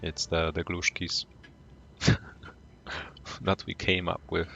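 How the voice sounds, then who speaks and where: A man from Poland with a Polish accent, whose first language is Polish, calmly commenting on his game for a live stream and greeting viewers in chat. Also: sits low, creaky, soft background music. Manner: calm, unhurried, greets chat viewers between plays.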